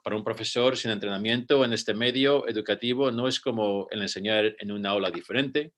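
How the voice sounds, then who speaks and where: Spanish-born man giving a measured panel talk. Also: medium pitch, a bit bright. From Spain. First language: Spanish, with rusty fluency. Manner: measured, read-out delivery with occasional restarts. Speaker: school director and panelist. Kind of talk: prepared panel presentation.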